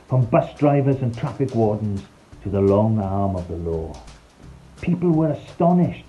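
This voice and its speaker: A man's voice: mid-range voice, dark tone, muffled recording. A man reading a scripted, rhyming children's story in a playful, theatrical storytelling manner. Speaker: storyteller, narrator. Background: background music.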